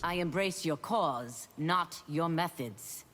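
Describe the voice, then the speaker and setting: An actress playing a solemn, righteous warrior in a fighting game, speaking in measured moral reproach. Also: female voice, low-pitched, fairly bright tone. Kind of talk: dramatic fighting-game acting. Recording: long reverb, faint noise.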